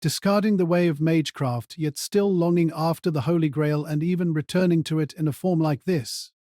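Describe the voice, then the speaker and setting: Aristocratic mage male actor, fairly high male voice, dry close sound, measured cold disdain, audio drama. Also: fairly even intonation, mellow, no room sound, lightly acted. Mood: cold taunting. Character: composed aristocratic male mage, coldly condescending father.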